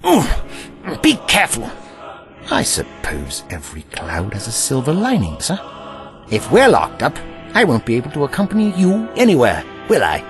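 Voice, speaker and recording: A man's voice: mid-range voice, wildly swooping pitch, normal speed, music in the background. A man playing an eccentric time-travelling adventurer in an audio drama, pompous, theatrical and sardonic. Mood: wry defiance.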